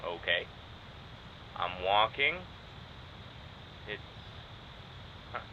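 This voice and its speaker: An actor playing a wry young test subject in an audio drama, speaking informally and haltingly with a sardonic edge. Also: male voice, low voice, expressive, highs cut off, slight background noise, full character voice. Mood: hesitant, curious. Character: wry, rambling young man describing his memories, guarded test subject. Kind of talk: naturalistic audio drama acting.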